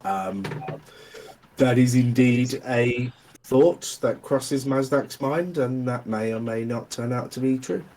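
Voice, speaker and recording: A man narrating as dungeon master in a casual, hesitant way, with many pauses and fillers. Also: mid-range voice, a little mellow, slight background noise, poor audio. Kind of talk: narrating a tabletop game.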